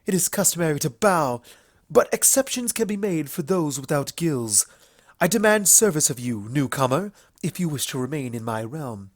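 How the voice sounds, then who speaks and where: A man's voice: a little high, very animated, normal speed, no echo, clean studio sound. A man voicing a playful, imperious female alicorn pony princess in a story reading, with formal, grandiose speech. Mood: mock menacing. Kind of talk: fan fiction character reading.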